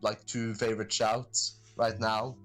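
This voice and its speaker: A man's voice: on the low side, level tone, measured pace, fairly bright tone, faint music behind. A man guesting on a podcast in casual chat, rambling and hesitant with many fillers.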